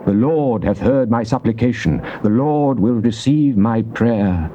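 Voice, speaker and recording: An actor playing a devout psalmist in a dramatized scripture reading, with a British accent and solemn, declamatory, measured phrasing. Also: male voice, mid-range voice, animated, mellow, lightly acted. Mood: anguished pleading. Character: devout psalmist pleading and praising God. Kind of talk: dramatized scripture reading, classical stage delivery. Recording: dull, noisy old cassette tape.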